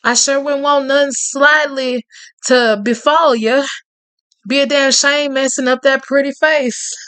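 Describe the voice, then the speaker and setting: A woman doing a husky Cajun charmer. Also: fairly even intonation, unhurried, no echo. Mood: menacing. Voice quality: husky, drawling, low. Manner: confident, teasing, quietly threatening. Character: smooth, menacing, flirtatious Cajun man from the South.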